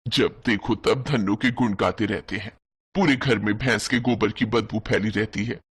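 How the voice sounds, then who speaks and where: Man as a husky, scolding mother-in-law. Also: fairly low pitch, moderate pace, pitched below the performer's own voice. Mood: grumbling. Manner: scolding, complaining. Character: scornful middle-aged mother-in-law, angry and haughty.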